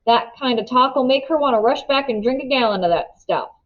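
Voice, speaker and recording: A woman's voice: high-pitched, lively intonation, brisk, dull audio. A woman voicing a serious, cautious older brother in a storybook, earnest and folksy. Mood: stern, warning.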